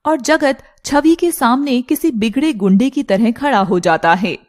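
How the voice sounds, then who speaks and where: A woman's voice: fairly high pitch, a little slow. A woman storyteller delivering scripted, dramatic narration for a cartoon tale.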